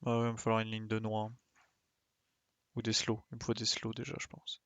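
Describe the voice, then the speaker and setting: A man's voice: fairly low pitch, monotone, fairly quick, a bit bright, dry recording, lo-fi sound. A man doing casual, colloquial gaming commentary, thinking aloud and muttering to himself as he plays. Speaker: streamer playing a video game.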